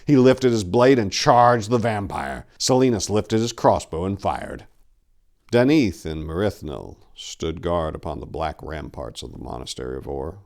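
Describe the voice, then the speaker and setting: A man's voice: deep voice, a little mellow. A man narrating in a theatrical, brooding style, like a dramatic story reading. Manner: theatrical, brooding, deliberate delivery. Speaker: narrator and channel host.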